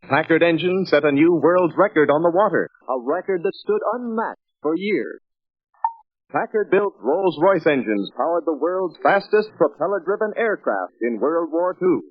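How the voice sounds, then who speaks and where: An American man narrates like a 1950s promotional film announcer, emphatic and polished. His voice sits a little high with a husky grain. The old soundtrack is narrow and tinny. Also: animated, moderate pace, dry recording. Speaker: narrator of a sales training film.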